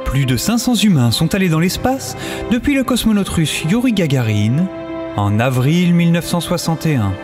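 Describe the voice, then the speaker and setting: Casting: male narrator, high-pitched and animated, scripted factual intro for an audio series, music underneath. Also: moderate pace. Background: background music.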